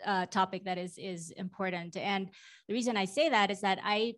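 Woman, Filipino first language, forties, handheld mic, live stage, steady mid voice, reflective. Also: medium pitch, normal speed. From Philippines. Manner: warm, reflective, many ums. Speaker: panel guest. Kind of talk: fireside chat on stage. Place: conference stage before a live audience.

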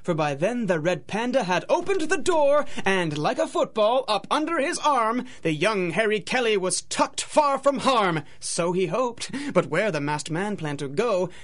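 A man's voice: sits high, sing-song, measured pace, few pauses, a bit bright. A man giving theatrical, dramatic narration in rhyming storybook verse for a radio drama.

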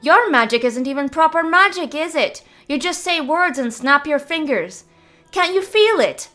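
A woman voicing a mischievous, bouncy young female druid in a storybook reading, talking high, loud and excitably. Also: normal speed, soft background music. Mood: enthusing.

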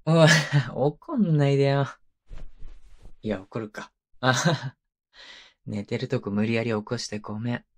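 A man's voice: medium pitch, unhurried, long pauses, lightly acted. A male voice actor playing a playful, clingy, childlike young husband in an intimate ASMR drama, coaxing and teasing in a casual, hesitant way. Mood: coaxing, sheepish. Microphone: close microphone, binaural.

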